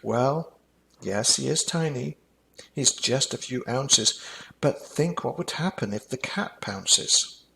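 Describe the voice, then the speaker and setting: Man reading as a firm, gravelly storybook dad. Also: medium pitch, animated, slow pace, bright, forceful tone, slight background noise, exaggerated voice. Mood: stern. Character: cautious, stern father startled by a mouse. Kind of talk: rhyming children's storybook reading.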